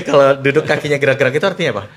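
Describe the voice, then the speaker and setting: A man with a Jakarta Indonesian accent hosting a casual interview, slangy and quick to interject, mixing in English words. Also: mid-range voice, fairly bright tone, husky. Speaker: podcast host.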